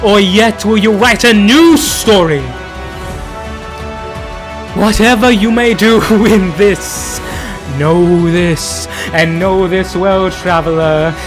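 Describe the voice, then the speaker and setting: A man delivering dramatic scripted lore narration, grave and portentous, in archaic oratorical phrasing with dramatic pauses. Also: high-pitched. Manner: grave, theatrical, portentous, addressing the listener as traveler. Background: background music.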